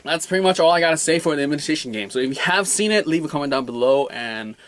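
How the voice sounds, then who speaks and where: A man's voice: on the high side, lively intonation, fast. A man reviewing a film in a casual, unscripted way, rambling colloquially and often repeating words and phrases.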